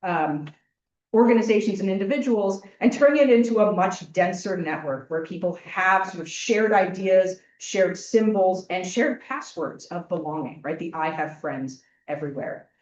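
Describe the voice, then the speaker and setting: A woman giving a measured academic conference talk, closing her remarks formally. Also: fairly low pitch, a little mellow. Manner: measured, ends with a formal close. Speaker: academic panel speaker. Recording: distant mic in echoey room, muffled.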